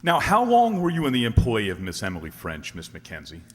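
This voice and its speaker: A man playing a formal, probing courtroom prosecutor in a radio drama, putting deliberate questions. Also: on the low side, huge pitch swings. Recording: long reverb of a hall.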